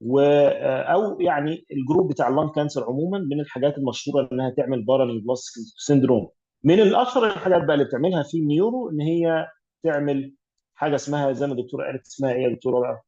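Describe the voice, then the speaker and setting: Fast-talking Egyptian man teaching radiology. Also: fairly high pitch, warm tone, breathy edge, dull audio. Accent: Egyptian Arabic. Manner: explanatory, mixes English medical terms, many fillers. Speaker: radiology tutor. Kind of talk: online exam revision teaching.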